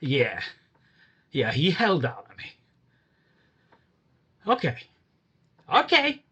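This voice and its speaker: An actor playing a nervous small-time crook under questioning, whining and stammering in a radio drama. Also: male voice, fairly high pitch, huge pitch swings, slow, a bit bright, husky, dull audio, exaggerated voice. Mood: bitter. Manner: whiny and stammering.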